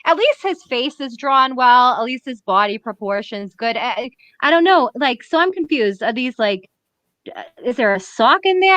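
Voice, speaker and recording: A woman's voice: mid-range voice, fairly bright tone, no room sound. A woman with a Boston accent, co-hosting a casual chat and critiquing art bluntly, laughing often and restarting her sentences. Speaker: podcast co-host.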